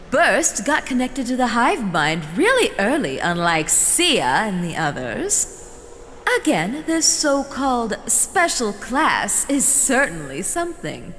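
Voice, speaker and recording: A woman playing a doting, possessive female elder in an audio drama, with a drawn-out, sweetly condescending, theatrical delivery. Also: on the high side, fairly bright tone, heavily acted. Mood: sweetly commanding. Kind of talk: scripted fan audio drama acting. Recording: reverberant hall, faint noise.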